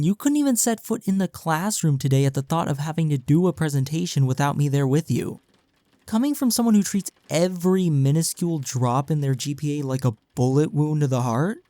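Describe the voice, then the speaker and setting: A man playing a caring, frank young best friend in an intimate comfort audio roleplay, speaking in a soft, earnest, reassuring way. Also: fairly high pitch, expressive, moderate pace, no room sound, clean studio sound, close to an everyday voice. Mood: frank, concerned.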